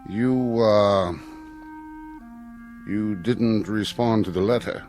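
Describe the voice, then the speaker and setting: An actor playing a formal, awkwardly tactful school headmaster in a radio drama, with a halting, stiffly polite delivery. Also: male voice, fairly low pitch, a little mellow, soft background music. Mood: tactful, businesslike.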